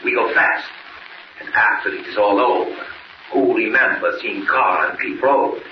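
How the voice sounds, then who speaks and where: An actor playing a scheming, gloating villain with a Spanish accent and broken English in a 1940s radio serial. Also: male voice, on the low side, moderate pace, close to an everyday voice. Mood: confident, scheming. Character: scheming Spanish-speaking male villain plotting a bombing. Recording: noisy, muffled, echoey 1940s radio recording.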